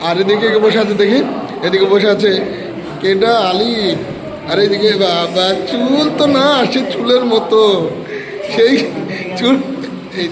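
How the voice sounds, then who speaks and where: A man's voice: high voice, unhurried, long pauses, poor audio. A man hosting a casual vlog, introducing his friends to viewers and teasing them with questions. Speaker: vlogger and host. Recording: busy crowd and street noise.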